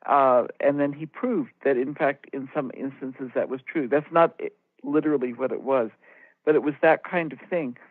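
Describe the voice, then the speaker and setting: A woman co-host talks reflectively in a measured, halting manner, often pausing within a phrase. Her voice comes through dry and narrow, like a telephone line into a radio show. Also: rumbling low, no echo. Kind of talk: reflective anecdotal talk radio discussion. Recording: tinny, narrow phone-like line.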